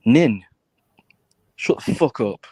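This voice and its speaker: A man's voice: medium pitch, lively intonation, slow pace, a little mellow, slightly rough, no echo. A British man in casual conversation, talking in a blunt, insistent way and swearing freely. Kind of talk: casual chat.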